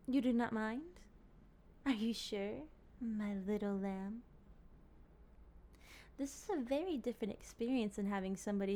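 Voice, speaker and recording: Woman acting a slow, breathy, possessive vampire lady. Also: medium pitch, darkish tone. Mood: tentative, tender. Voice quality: breathy, often dropping to a whisper. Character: possessive, courteous, hungry female vampire, soft dominant. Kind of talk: intimate ASMR roleplay acting.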